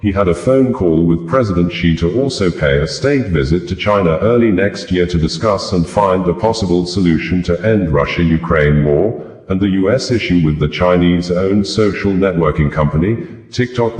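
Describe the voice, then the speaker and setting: A man's voice: deep voice, darkish tone. A man reading scripted news in a formal, long-winded way. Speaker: news reader, podcast host. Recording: roomy, echoing voice recording.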